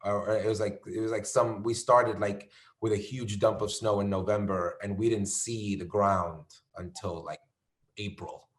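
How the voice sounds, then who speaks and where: A man's voice: on the low side, fairly even intonation, normal speed. A man from New York chatting casually as an actor guest, rambling with lots of fillers and restarts. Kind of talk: casual conversation. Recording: echoey room.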